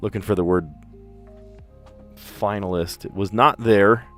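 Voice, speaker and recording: American guy from the east coast, kinda low voice, careful clear diction, chatty stream host thinking out loud. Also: steady tone, measured pace, soft background music. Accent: General American. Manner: careful diction, chatty, muses aloud with fillers. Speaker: puzzle livestream host. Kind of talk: casual thinking aloud while solving puzzles.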